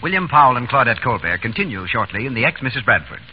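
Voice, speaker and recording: A man's voice: mid-range voice, sing-song, quick pace, over loud music. A man announcing a scripted 1930s radio advertisement in a polished, persuasive, formal way. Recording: dull, faintly noisy 1930s radio broadcast.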